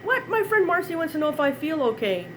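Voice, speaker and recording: A woman's voice: very high voice, big pitch swings, quick pace, slight background noise. A middle-aged woman with a slight head cold, rambling chattily through a live online product demo from her kitchen as a direct-sales consultant. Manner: chatty and rambling, chuckles often.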